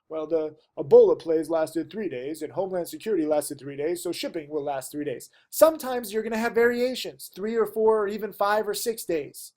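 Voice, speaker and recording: A man's voice: high-pitched, darkish tone. A man teaching his students, stuffy and losing his voice, pleading with them to study. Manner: emphatic, pleading. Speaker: trading teacher. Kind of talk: direct-to-camera lesson.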